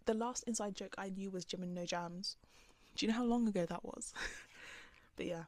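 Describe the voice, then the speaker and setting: A young woman talking casually to camera in a calm, soft voice, rambling with lots of "like"s. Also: mid-range voice, moderate pace, dry recording. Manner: rambling, filler-heavy with many "like"s. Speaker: vlogger. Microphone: camera on the speaker.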